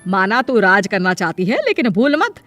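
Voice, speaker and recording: A woman's voice: mid-range voice, sing-song, moderate pace, a bit bright, soft background music. A woman playing a proud, domineering middle-aged mother-in-law who scolds pointedly in a cartoon family drama. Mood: stern.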